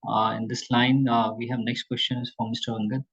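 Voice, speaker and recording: A man's voice: medium pitch, flat delivery, brisk, a bit bright, husky. A man moderating a conference call, formally introducing questioners, with dull sound.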